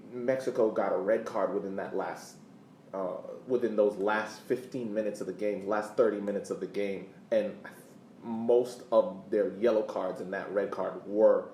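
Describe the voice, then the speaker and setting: Deep-voiced man on a roomy, distant mic. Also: mellow, slight background noise. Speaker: podcast co-host. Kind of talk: casual sports reminiscing.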